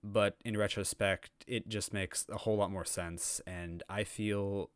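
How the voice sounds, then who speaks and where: A man's voice: fairly low pitch, fairly even intonation, measured pace, smooth, no echo, studio quality. A man talking casually and reflectively to his viewers as the host of a story-reading channel, with music behind him. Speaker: fan fiction reader and channel host. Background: background music.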